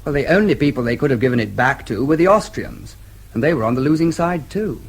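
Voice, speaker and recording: An actor playing a mild, patient diplomat in a radio drama, with a measured, explanatory manner. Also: male voice, medium pitch, animated, close to an everyday voice. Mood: mildly reproving.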